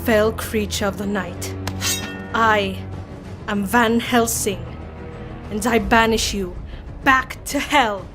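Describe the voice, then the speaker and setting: A woman playing a resolute young Victorian gentlewoman in an audio drama, deliberate and dramatic. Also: mid-range voice, music in the background, close to an everyday voice. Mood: vengeful resolve.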